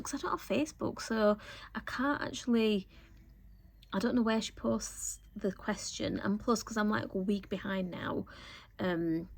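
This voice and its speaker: A woman, a little croaky from a cold, chatting casually to camera about her sewing week, rambling with lots of ums. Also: medium pitch, darkish tone. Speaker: sewing vlogger.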